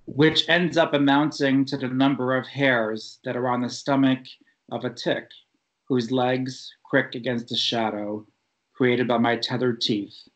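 Male poet, mid-range voice, very unhurried and deliberate verse reading, dull online call audio. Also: medium pitch, level tone, fairly bright tone. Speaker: poet reading own work. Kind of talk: poetry reading. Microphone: video call.

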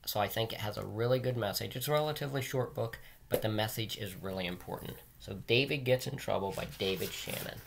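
A man's voice: lowish voice, fast talker, gravelly, few pauses, background noise. A middle-aged man, a teacher talking to children in a plain, earnest, emphatic way, recorded on a webcam in a small room. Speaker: teacher and school administrator reading aloud to pupils. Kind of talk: read-aloud and plain advice to children. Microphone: webcam at desk distance.

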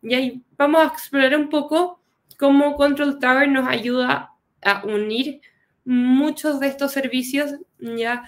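A woman's voice: high voice, steady tone, unhurried. A young woman with a Chilean accent, presenting a tech lesson on a video call, casual and chatty, with a cold. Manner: casual, often adds "¿cierto?" as a tag. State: has a cold, coughs. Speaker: guest speaker. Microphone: webcam video call.

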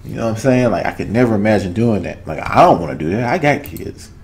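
A man's voice: fairly low pitch, lively intonation, fast, darkish tone. A man in his thirties from Arkansas with a Southern US accent, giving casual, emphatic commentary as a reaction video host and repeating phrases for weight. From Arkansas, United States. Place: small home room with cloth-draped backdrop. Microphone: desk condenser microphone, headphones on.

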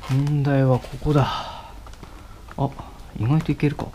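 Young guy, unhurried, filler-heavy, mumbling to himself mid-job, head-cam audio, faint hiss. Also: mid-range voice, level tone, warm tone. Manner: slow, halting, many fillers, mutters to himself while working. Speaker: motovlogger. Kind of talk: casual how-to commentary while working. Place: cluttered garage indoors. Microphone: head-mounted action camera.

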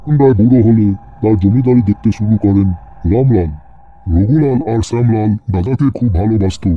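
A man voicing an old male servant, gravely telling an eerie tale in a cartoon horror story. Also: medium pitch, phone-quality sound, close to an everyday voice. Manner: grave, storytelling, Hindi-mixed Bengali wording.

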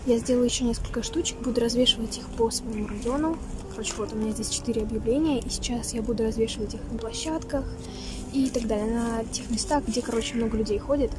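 A teenage girl chatting excitedly to a handheld phone while walking outdoors on a city street. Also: on the high side, quick pace. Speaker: vlogger. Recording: loud street noise.

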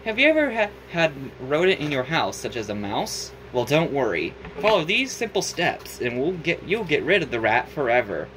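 A man's voice: fairly high pitch, very animated, moderate pace, few pauses, a bit bright, slight background noise, poor audio. Chirpy little block guy doing a tutorial, man voicing, bouncy and upbeat. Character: chipper cartoon block explainer host.

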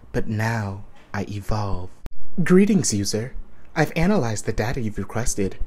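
A man voicing a calculating artificial intelligence in a measured, formal, deliberate manner. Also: medium pitch, husky. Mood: cold, menacing.

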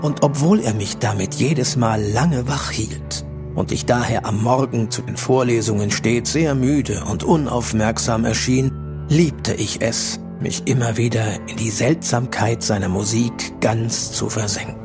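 Actor as a young student, music behind. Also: male voice, mid-range voice, close to an everyday voice. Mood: reflective narration. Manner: measured when narrating, hesitant and stammering in dialogue. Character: poor young male student, polite, timid narrator. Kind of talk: audio drama narration and acting.

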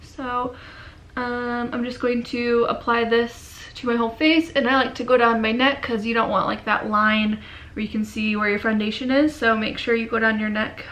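A young woman in her twenties with a cold, chatting casually through a makeup tutorial in a dim bedroom, often trailing off into quiet murmurs. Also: fairly high pitch, steady tone, normal speed. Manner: chatty, filler-heavy, drifts into quiet murmurs while working. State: sick and sniffly. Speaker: beauty vlogger.

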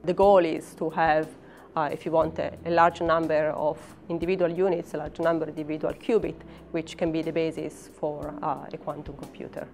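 A woman's voice: deep voice, flat delivery, brisk, darkish tone, soft background music. A woman in her thirties with an Italian accent, a professor speaking hesitantly in an interview about her work. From Italy. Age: 30s. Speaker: professor and research scientist.

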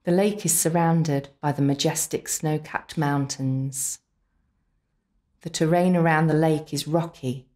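A woman narrating a scripted guided visualisation in an unhurried, soothing way, with deliberate pauses. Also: deep voice, a little mellow. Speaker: complementary therapist leading guided relaxation.

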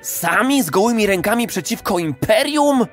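A man's voice: high-pitched, lively intonation, normal speed, faint music behind. An actor playing a doubtful, fearful man, a reluctant hero in an audio drama, hesitant and slangy. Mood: afraid, doubtful.

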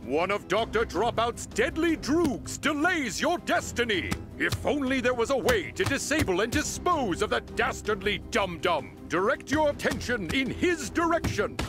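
Very high, bombastic cartoon superhero mentor. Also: male voice, big pitch swings, normal speed, hardly any pauses, over music. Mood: bombastic enthusiasm. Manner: over-the-top, cheesy, alliterative. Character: bombastic male psychic superhero mentor, adoring and verbose.

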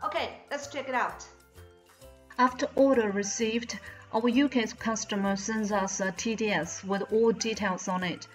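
A woman's voice: fairly high pitch, steady tone, unhurried, soft background music. A woman in her thirties with a Chinese accent, presenting a factory walkthrough in careful, slightly non-native English. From China. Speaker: channel host, mold company representative. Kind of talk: scripted factory walkthrough narration. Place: indoors, plain bright backdrop. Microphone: front-facing camera, medium close framing.

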